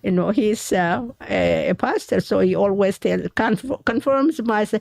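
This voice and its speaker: Halting gravelly woman author recounting. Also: mid-range voice, darkish tone, dry recording, clean studio sound. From Ethiopia. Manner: halting, searching for words. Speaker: author guest. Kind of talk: interview storytelling.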